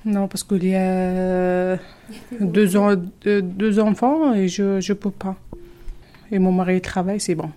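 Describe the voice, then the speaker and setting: A young woman from Algeria, still learning the language, answers questions at a very slow pace. She speaks in short, simple phrases and pauses often to search for words. Her voice is mellow and soft-edged. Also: mid-range voice, steady tone. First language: not French. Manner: halting, simple French, many hesitations. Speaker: interviewee, French learner. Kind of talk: hesitant interview answers.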